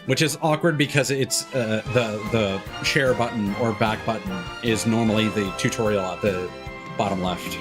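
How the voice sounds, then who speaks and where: A man's voice: on the low side, normal speed. A man giving casual gameplay commentary as a streamer, muttering and exclaiming at the game as he plays. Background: video game music.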